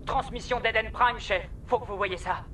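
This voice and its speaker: A high-voiced starship pilot. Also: male voice, steady tone, moderate pace, sharp tone, a little hiss or hum. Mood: concerned, reporting. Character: brisk male military starship pilot. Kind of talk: video game cutscene acting in French.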